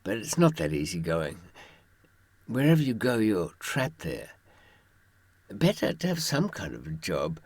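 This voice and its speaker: A man with a very low, husky voice talks informally, as if sharing hard-won advice. His intonation moves widely, and his wry, dry asides go straight to the listener. The sound is close and dry. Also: dry recording. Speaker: author giving prison survival advice from experience. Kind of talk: informal monologue with anecdotes.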